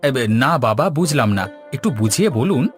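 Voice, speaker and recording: A man's voice: mid-range voice, expressive, brisk, close to an everyday voice. A man voicing a whiny, greedy, talkative young son-in-law who complains, in a folk-tale cartoon. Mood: pestering. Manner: whining, complaining. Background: background music.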